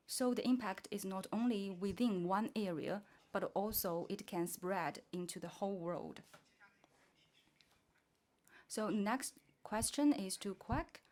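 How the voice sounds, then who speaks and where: Slow, halting woman moderating a panel. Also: mid-range voice, darkish tone. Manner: halting, searching for words. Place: conference stage.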